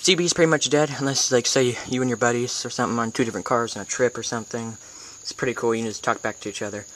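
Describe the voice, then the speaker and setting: An American man giving a casual, hands-on video tutorial in an informal, halting way, thinking aloud as he goes. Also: medium pitch, fairly even intonation, a bit bright. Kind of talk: casual hands-on how-to demonstration. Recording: noisy amateur camera recording with clicks.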